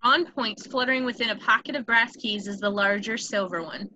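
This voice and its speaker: Bright-voiced woman narrating a script. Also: a little high, fairly quick, dry recording, low-quality recording. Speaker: narrator reading stage directions.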